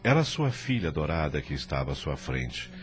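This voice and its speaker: A man's voice: extremely low pitch, sing-song, brisk. A man reading dramatic scripted narration as the narrator of an audio drama. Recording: loud music under the voice. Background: loud music.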